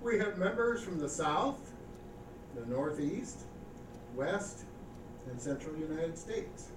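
A man preaching a sermon as pastor, reading scripture slowly and with emphasis, then speaking casually and asking the congregation questions. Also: a little high, expressive. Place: church sanctuary. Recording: echoey church recording from far off with background noise.

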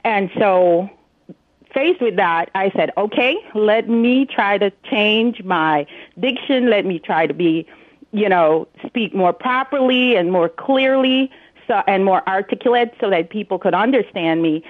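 A woman from Jamaica speaks with a Jamaican accent in a quick, storytelling way, at a middle pitch. She comes through a tinny phone line with a dry sound. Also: animated, dry recording.

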